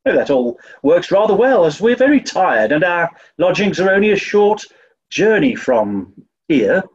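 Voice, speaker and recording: A pompous, tetchy English toff, played by a man, speaks with very lively, swooping intonation. The manner is stuffy and slow-witted radio comedy, on a slightly muffled microphone. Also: high-pitched. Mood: polite, pompous. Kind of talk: 1940s radio comedy acting.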